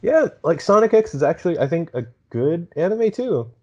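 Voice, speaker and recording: A man's voice: fairly high pitch, sing-song, normal speed, warm tone, slightly rough. A man in casual podcast chat, hesitant and slangy, on a slightly dull microphone.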